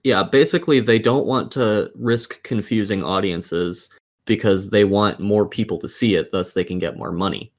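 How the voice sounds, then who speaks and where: A man's voice: fairly low pitch, moderate pace. A man co-hosting a casual gaming podcast, explaining his opinions at length and swearing casually. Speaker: podcast co-host.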